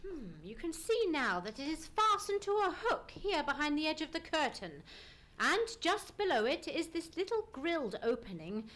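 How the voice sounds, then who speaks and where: A woman playing a keen, methodical male detective in an old-time radio play, musing and deliberate, humming as she ponders. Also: high voice, sing-song, fairly bright tone, slight background noise. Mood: musing, intrigued. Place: live theatre stage performance.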